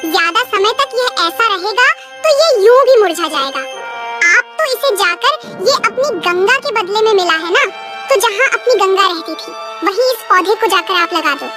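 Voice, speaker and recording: A woman voicing a poor, caring, practical village wife who gently chides, in a moral cartoon. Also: very high-pitched, lively intonation, normal speed, over loud music. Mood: practical, coaxing. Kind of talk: Hindi moral cartoon story voice acting.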